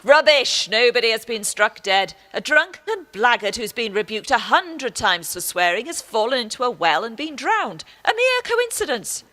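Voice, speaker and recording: A woman's voice: fairly high pitch, very animated, moderate pace, hardly any pauses, sharp tone. A woman acting a stern, superior archbishop in a radio play, with a haughty, lecturing manner. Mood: exasperated. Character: stern, pious, superior archbishop, scolding and dignified. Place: actor's home, recorded remotely.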